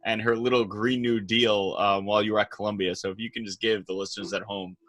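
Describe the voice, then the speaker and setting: Bright, husky-voiced man lecturing bluntly. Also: fairly low pitch, little pitch movement, fast. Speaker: podcast co-host. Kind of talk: casual political chat.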